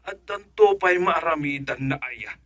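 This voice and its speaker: A man's voice: a little high, expressive, a little slow, sharp tone, no echo, soft background music. A man acting a money-obsessed, scheming village husband in an Ilocano radio comedy drama, boastful and cajoling, with an Ilocano Filipino accent. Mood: sly, cajoling.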